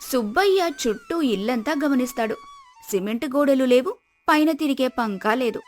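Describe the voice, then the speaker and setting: A woman's voice: sits high, animated, normal speed. A woman narrator reading a scripted moral story. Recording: music behind the voice. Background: music.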